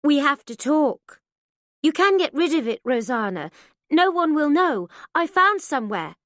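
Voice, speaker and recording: A controlling middle-aged mother, shrill and frantic, her voice pitched well up and loud as she pleads and berates, as a woman reading aloud puts her on. The delivery is slow and dry, close to the mic. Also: big pitch swings, dry recording, exaggerated voice. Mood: urgent. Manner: shrill, pleading, then screaming.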